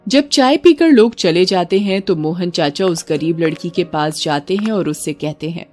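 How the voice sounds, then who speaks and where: A woman's voice: fairly low pitch, lively intonation, moderate pace, a little mellow, soft background music. A woman narrator telling a scripted children's story.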